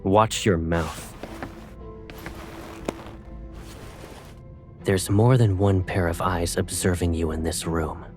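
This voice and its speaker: An actor playing a cold, quietly menacing young male fleet colonel in a game, speaking in a measured, authoritative way. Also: low voice, fairly even intonation, soft background music. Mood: stern, controlled. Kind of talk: dramatic game voice acting.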